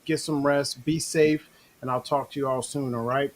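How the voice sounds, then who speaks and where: A middle-aged man hosting a casual online talk show, relaxed and rambling with many restarts. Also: medium pitch, normal speed, noisy background. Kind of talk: casual live-stream talk.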